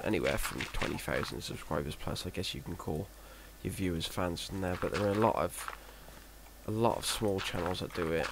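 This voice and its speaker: A deep-voiced man talks over his gameplay in a flat monotone, with a gravelly rasp at the ends of his words. He wanders off track and puts himself down as he goes, and a steady hiss of noise sits under the recording. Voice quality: monotone, self-described. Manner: rambling, hesitant, self-deprecating. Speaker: Minecraft let's player. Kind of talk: casual gameplay commentary. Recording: noisy home recording.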